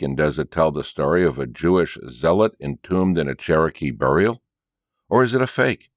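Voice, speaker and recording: A man with an extremely deep, low voice narrates a nonfiction book chapter. The delivery is scripted read-aloud narration, and the recording is dry and close, free of room sound. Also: no echo. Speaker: audiobook and podcast narrator.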